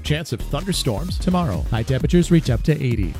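A man reading a radio weather forecast as a meteorologist, in a measured delivery. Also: mid-range voice, big pitch swings, over music.

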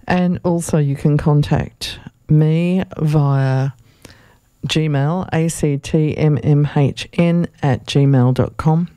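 A community radio host, hoarse and losing their voice, giving station announcements and reading a media release aloud in an unhurried, halting way. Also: mid-range voice, mellow, no echo, studio quality. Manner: unhurried, halting delivery with fillers. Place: radio studio.

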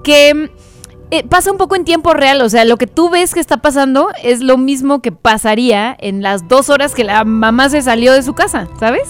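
A woman's voice: sits high, moderate pace, soft background music. Enthusiastic woman reviewing films. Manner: enthusiastic, filler-heavy. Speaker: host presenting recommendations. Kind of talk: casual chat, film review.

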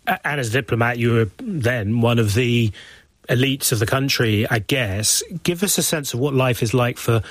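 A man's voice: mid-range voice, moderate pace, no room sound. Male interviewer, dry close sound. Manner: courteous, probing follow-ups. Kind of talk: interview questions.

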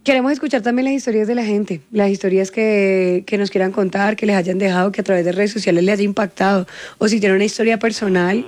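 A woman's voice: mid-range voice, steady tone, fast. A woman from Cali with a mild Colombian accent, hosting a radio talk show in an earnest, easygoing way. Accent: Colombian Spanish, mild Cali. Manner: warm, earnest, laughs easily. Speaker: radio host.

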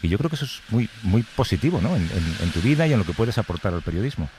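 A man hosts a radio panel conversation. He talks hesitantly and fills his pauses with "eh". A faint hum of room ambience sits behind him. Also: fairly low pitch, sing-song. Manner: hesitant, many fillers. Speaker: host.

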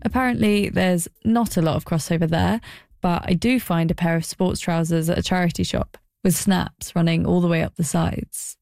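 A woman's voice: fairly low pitch, steady tone, moderate pace, vocal fry, darkish tone, no room sound, clean studio sound. A woman narrating a personal documentary in a wry, self-deprecating way.

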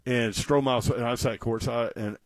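A man's voice: mid-range voice, fairly even intonation, a little fast, dry recording. A middle-aged man hosting a sports radio show, talking in a loose, rambling way with many restarts. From Nashville. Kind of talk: casual sports talk.

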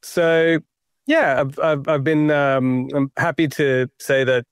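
Give me a smooth-voiced young Australian man. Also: a little high, takes it slowly, dry recording, clean studio sound. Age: young adult. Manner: reflective and self-deprecating, many fillers and restarts. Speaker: playwright guest. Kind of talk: interview.

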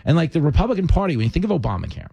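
A man's voice: fairly high pitch, very fast, gravelly, husky, dry recording. A man hosting a podcast, chatting casually and animatedly about politics. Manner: animated, laughing, acts out quoted voices. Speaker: podcast host.

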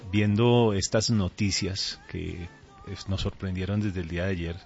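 A middle-aged man with a Colombian accent, speaking as a pastor in a radio interview in a deliberate, earnest way. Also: low-pitched, steady tone, vocal fry, darkish tone, faint music behind. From Colombia, raised there from age two. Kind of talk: radio interview, conversational testimony. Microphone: studio microphone. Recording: dull, band-limited radio audio.

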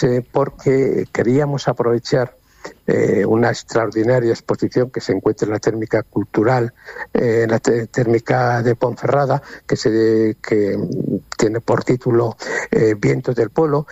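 An older man from Spain speaks for a retirees' association on a radio interview. His voice is gravelly, dark and husky, and he stumbles through fillers and repeated words. The sound is dry and dull. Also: medium pitch, no room sound.

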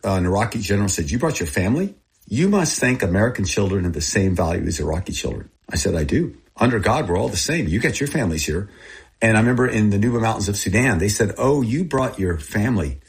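Male, sixties, grew up in Thailand, Thai first language, husky, lowish pitch, earnest personal storyteller, plain short phrases. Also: lively intonation, quick pace. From born in Texas, grew up in Thailand. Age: 60s. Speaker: interviewee, missionary aid group founder.

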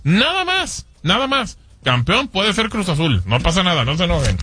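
A Mexican man hosting sports talk radio, reading listener messages and calmly defending his takes. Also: sits high, moderate pace.

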